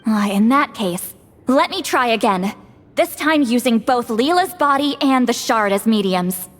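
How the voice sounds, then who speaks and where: An actress playing a wise, wry elderly woman who guides spirits, speaking in an unhurried storytelling way for a video game. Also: fairly high pitch, animated, faint music behind. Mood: wry, easygoing.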